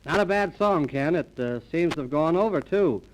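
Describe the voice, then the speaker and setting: A man announcing scripted 1930s radio comedy in a deadpan, mock-formal manner, on an old transcription disc. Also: fairly high pitch, moderate pace, rough recording.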